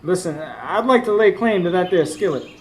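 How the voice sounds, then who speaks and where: High-voiced radio drama hero. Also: male voice, lively intonation, normal speed. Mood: gentle. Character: Clay, young Southern stable hand, earnest, weary narrator-hero. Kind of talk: radio drama narration and dialogue. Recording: live stage recording, faint background noise.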